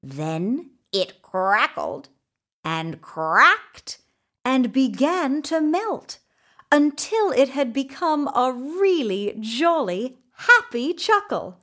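A woman reading a children's story in an expressive, deliberate way with dramatic pauses. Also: high-pitched. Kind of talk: scripted children's story narration.

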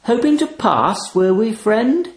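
A smug, menacing talking raven from a storybook, played by a male reader, pitched high and swooping theatrically. It savours every pause with mock friendliness and hammy assurance, in a roomy, echoing space. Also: big pitch swings, exaggerated voice. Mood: smug menace. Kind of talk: dramatised short-story reading.

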